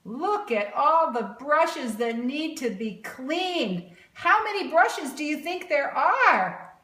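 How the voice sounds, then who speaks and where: Animated woman reading aloud in an echoey room. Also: high voice, slow. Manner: animated, expressive, exaggerated emphasis for children. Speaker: children's picture book reader. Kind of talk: read-aloud storytelling with questions to young listeners. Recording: roomy, echoing sound.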